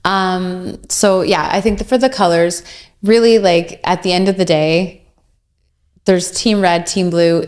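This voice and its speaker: A woman's voice: fairly low pitch, fairly even intonation, unhurried, darkish tone. A woman, chatting as a podcast guest, upbeat and full of ums. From Canada. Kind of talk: casual podcast chat.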